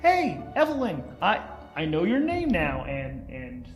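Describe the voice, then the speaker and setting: A man voicing an earnest, searching male bounty hunter aboard a starship in an improvised audio drama, thinking aloud haltingly. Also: a little high, huge pitch swings, roomy sound, soft background music, voice pushed up, full character voice. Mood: excited greeting.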